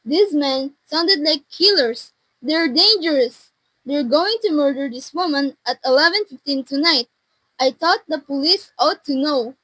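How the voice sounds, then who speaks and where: A woman's voice: high voice, a little slow, sharp tone, dry recording, low-quality recording. A young woman in her teens playing an imperious, anxious married lady in an amateur radio drama, insistent and indignant. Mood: flustered. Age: teenager.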